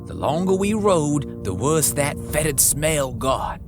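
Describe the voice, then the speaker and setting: A man's voice: on the high side, animated, takes it slowly. A man narrating a western horror story in the first person, in folksy frontier talk full of crude slang, over background music. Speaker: audiobook narrator.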